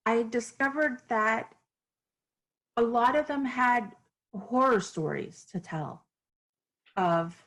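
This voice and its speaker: A woman's voice: on the high side, rough recording. Earnest woman author from Miami. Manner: earnest, reflective, with fillers and restarts. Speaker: author guest. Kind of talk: podcast interview.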